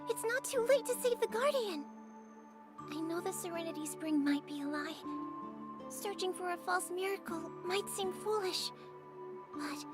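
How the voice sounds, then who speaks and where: Earnest little girl for a game: extremely high, heartfelt, determined, music behind. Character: earnest, tender young girl, hopeful and determined. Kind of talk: video game dialogue acting.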